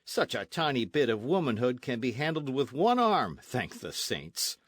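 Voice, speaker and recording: Man acting a pompous, pushy army captain, animated. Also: mid-range voice, moderate pace, no echo. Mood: menacing, gloating. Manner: pompous, sneering, insistent. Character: arrogant, pushy male Spanish colonial army captain. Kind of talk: storybook narration with character voices.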